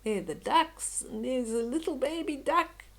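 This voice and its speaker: An older woman reading a children's bedtime story aloud in a gentle, expressive, dramatic way. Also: high-pitched. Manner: slow, gentle, dramatic, with hushed whispery moments.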